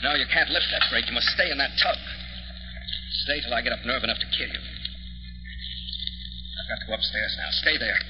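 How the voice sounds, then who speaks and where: A man's voice: medium pitch, fairly quick, long pauses, natural voice. A menacing, obsessed actor's husband figure from a 1940s radio horror play. He speaks with brooding melodrama. The old recording is muffled, with long cavernous reverb.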